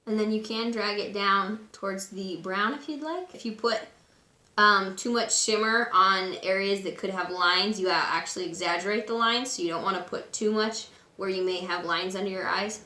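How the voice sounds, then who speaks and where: A woman with a raspy voice today, casually talking through a makeup tutorial at home. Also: mid-range voice, moderate pace, roomy sound. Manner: chatty, relaxed and instructive, with frequent fillers. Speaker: beauty vlogger. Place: room at home.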